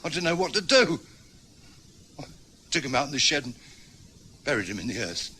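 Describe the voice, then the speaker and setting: A guilt-ridden English husband, frantic and stammering, lively bright voice, faint hiss of an old radio broadcast. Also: male voice, medium pitch, normal speed. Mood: confessing. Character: guilt-ridden older husband hiding a killing. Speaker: husband George. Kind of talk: radio drama acting.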